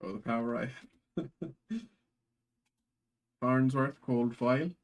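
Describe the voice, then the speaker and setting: A man's voice: medium pitch, steady tone, takes it slowly. A man hosting a live card break in a put-on Irish accent, rattling off card names from each pack.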